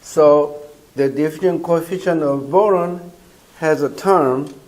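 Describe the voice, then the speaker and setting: Hoarse older man lecturing slowly. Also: a little high, darkish tone, low-quality recording. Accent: Korean. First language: Korean. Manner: slow, halting delivery with many uh fillers. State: hoarse from a cold. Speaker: professor. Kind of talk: university lecture. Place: lecture room. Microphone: far camera.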